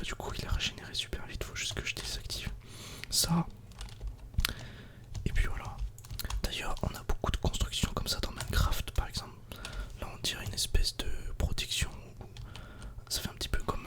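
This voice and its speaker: A man softly whispering casual, informal ASMR commentary while playing a video game. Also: normal speed. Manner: soft-spoken, casual, informal.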